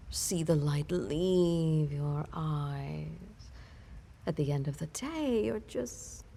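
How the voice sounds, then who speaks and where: Woman acting a slow, creaky-voiced vampire mistress. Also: on the low side, warm tone, a little hiss or hum. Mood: menacing, mocking. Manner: slow, theatrical, breathy half-whispered delivery with drawn-out words. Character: ancient female vampire mistress, predatory, imperious, seductively menacing. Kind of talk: intimate scripted audio roleplay monologue.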